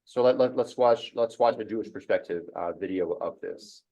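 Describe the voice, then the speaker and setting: Halting low-voiced man teaching a class. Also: warm tone, low-quality recording. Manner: halting, many ums and restarts. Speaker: church study group leader. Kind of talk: casual teaching talk.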